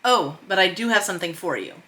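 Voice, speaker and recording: A woman reads the part of a lovable, dim himbo brother in a film script. He is breezy and laid-back, all casual dude slang, in a bright voice. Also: lowish voice, lively intonation, brisk. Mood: innocent.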